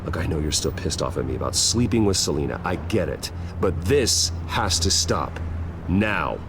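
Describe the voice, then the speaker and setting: Brooding rich vigilante, low serious voice, short controlled lines, video game, noise behind him. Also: male voice, expressive, normal speed. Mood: tense, reproachful. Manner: terse, grave, controlled. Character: brooding, determined wealthy male vigilante hero. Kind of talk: dramatic video game acting.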